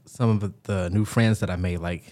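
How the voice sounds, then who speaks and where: A man's voice: sits low, steady tone, moderate pace, darkish tone. Man, Southern accent, casual podcast chat, chatty storyteller. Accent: Southern American. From Georgia, US. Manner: chatty storyteller, frequent likes and ums. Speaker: podcast co-host.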